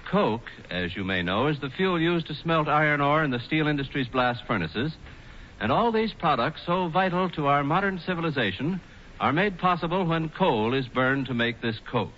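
A man's voice: fairly high pitch, expressive, normal speed, fairly bright tone, dull audio. An American man reading scripted 1940s radio advertising in an emphatic, declamatory way. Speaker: commercial spokesman. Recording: noisy, band-limited 1940s radio recording.

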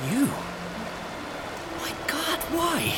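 A man's voice: extremely high pitch, expressive, drawn-out words, background noise, full character voice. An actor playing an earnest, alarmed man in a radio drama, urgent and emotional as he pleads and exclaims. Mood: shocked outrage.

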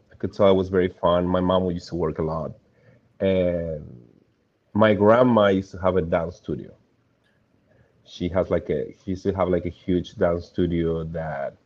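A man from Argentina, a guest talking casually about his life, relaxed and trailing off softly at the ends of phrases. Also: low voice, dull audio. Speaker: interview guest. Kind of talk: casual conversation.

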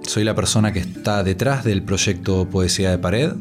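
A man in his thirties from Argentina, talking with a Rioplatense accent in a fairly low voice. He speaks reflectively, stopping and repeating words as he gathers his thoughts. Soft guitar music plays behind him. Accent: Argentine Rioplatense Spanish. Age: 30s. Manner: thoughtful, halting, repeats words as he searches for them. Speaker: street poet, interviewee. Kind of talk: reflective personal interview.

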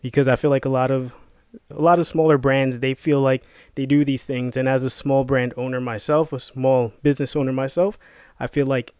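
A man's voice: mid-range voice, little pitch movement, a little fast, a little mellow, clean tone, no echo, studio quality. A man co-hosting a casual podcast, talking in a laid-back way and laughing often. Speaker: podcast co-host.

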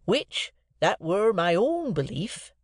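A kindly, simple country blacksmith as a storybook reader acts him out. He speaks very slowly and haltingly, stretching words and rambling through mangled grammar, pitched a little above the narration. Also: very animated, no echo, studio quality. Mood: slow, solemn.

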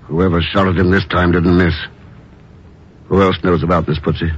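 Man playing a cool, low-voiced secret agent. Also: level tone, natural voice. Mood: calm, dry. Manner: unhurried, dry, understated. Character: cool, suave, unflappable male secret agent. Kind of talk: 1950s radio drama acting. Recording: muffled, noisy old radio broadcast.

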